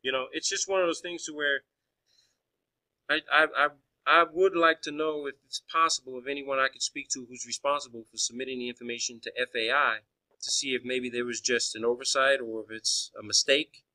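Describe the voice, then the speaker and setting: A man with a strikingly bright, tense voice at an ordinary male pitch. He talks like a caller in an argumentative phone conversation. He is insistent, often doubling back to repeat or restart a phrase. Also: steady tone, brisk, low-quality recording. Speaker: caller questioning a space agency official.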